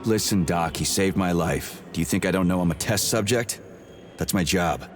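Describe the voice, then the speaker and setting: An actor playing a gruff, hot-tempered, defiant soldier in a video game, blunt and sarcastic. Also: male voice, deep voice, moderate pace, lightly acted. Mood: defensive. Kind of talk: video game dialogue acting. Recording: loud music behind the dialogue.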